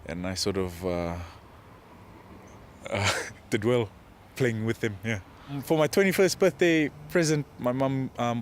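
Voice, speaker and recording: A man in his twenties with a Fijian accent answers interview questions as a rugby player, in a casual, modest and halting way. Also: mid-range voice, a little hiss or hum. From Fiji. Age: 20s. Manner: hesitant, modest, halting delivery.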